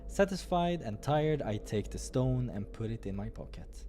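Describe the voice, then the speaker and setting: A man's voice: mid-range voice, lively intonation, normal speed, dark tone, faint music behind. A man reading visual novel narration aloud as the narrator of a playthrough.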